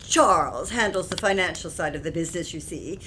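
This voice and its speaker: A woman's voice: lowish voice, a little fast, sharp tone. A woman playing an anxious, genteel Victorian wife in an audio play, speaking formally and earnestly. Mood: earnest, distressed. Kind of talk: audio theatre acting. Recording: faint background noise.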